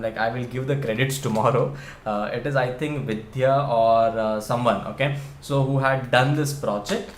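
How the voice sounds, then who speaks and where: A man leads a live coding class in an easygoing, rambling way, full of "uh"s and restarts. His mid-pitched voice sits in a roomy, echoey space. A faint hum runs behind him. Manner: chatty, many fillers and restarts. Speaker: online coding instructor.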